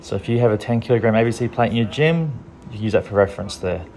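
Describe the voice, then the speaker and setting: A man's voice: lowish voice, fast talker. Laid-back man coaching strongman. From Australia. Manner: relaxed, practical, plain-spoken. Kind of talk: casual training tutorial voice-over.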